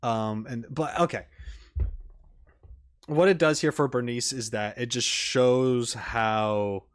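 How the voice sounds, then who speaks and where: A man talks through his reactions as a casual video host, in an average-pitched voice recorded close and dry. He rambles haltingly, interrupting himself and leaving thoughts unfinished before picking them up again. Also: no room sound. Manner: halting, self-interrupting, trailing off mid-thought; casual swearing. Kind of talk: casual rambling game-trailer commentary.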